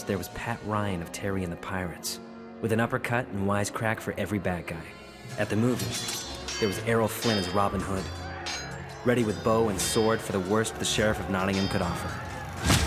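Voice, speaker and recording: Man as deep-voiced, reflective storyteller. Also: natural voice. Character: grown man wistfully recalling his boyhood adventure. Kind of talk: scripted first-person drama narration.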